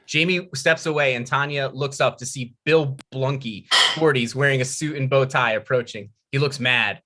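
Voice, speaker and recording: A man's voice: medium pitch, normal speed, sharp tone. A man narrating a script's stage directions at a table read.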